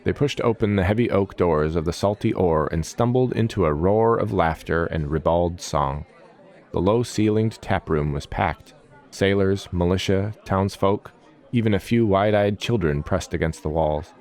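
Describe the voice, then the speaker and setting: A man's voice: deep voice, normal speed, a little mellow. A man giving dramatic scripted narration of a fantasy story as its storyteller.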